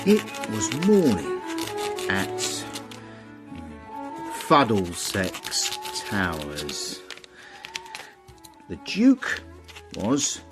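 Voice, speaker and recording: An actor playing a young English manservant, deferential, measured and droll, acting and narrating in a period radio drama. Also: male voice, fairly low pitch, huge pitch swings, over music, heavily acted. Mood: droll narration. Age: young adult. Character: discreet, respectful, ambitious young English manservant. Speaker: servant and narrator.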